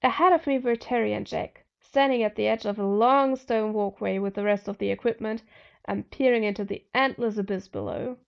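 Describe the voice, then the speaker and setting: A woman's voice: medium pitch, steady tone, fairly quick, creaky, lo-fi sound. A woman narrating first-person fiction expressively as an amateur audiobook reader.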